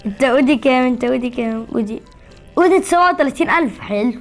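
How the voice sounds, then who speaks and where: A woman's voice: high voice, lively intonation, fairly quick, faint music behind. A woman with an Egyptian Arabic accent giving casual gameplay commentary, teasing and boasting as a channel host.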